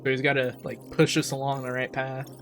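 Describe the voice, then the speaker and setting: A male streamer reacts live to a game's story. He talks informally at a middling pitch, thinking out loud and speculating, while faint music plays behind him. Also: level tone, a little fast. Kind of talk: casual live reaction commentary.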